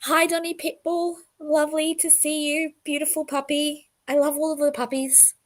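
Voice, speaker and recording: A woman in her thirties with a croaky, sick voice, chatting casually with her livestream viewers and greeting them by name. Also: high voice, flat delivery, moderate pace. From Australia. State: croaky voice from illness. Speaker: livestream host. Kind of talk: casual livestream talk to chat.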